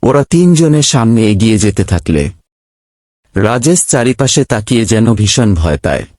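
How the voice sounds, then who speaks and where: Male narrator, ghost story, scripted delivery, fairly low voice, expressive intonation. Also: a little slow, no echo, clean studio sound.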